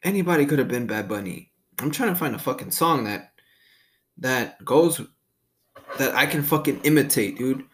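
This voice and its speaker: A man's voice: medium pitch, lively intonation, normal speed. A young man hosting a casual solo podcast, rambling and swearing freely in a laid-back way. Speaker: podcast host.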